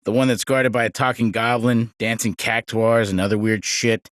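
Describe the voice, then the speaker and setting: Man voicing a low, gruff desert skeptic. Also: little pitch movement, normal speed, fairly bright tone, no room sound, studio quality, natural voice. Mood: scornful. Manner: blunt, dismissive.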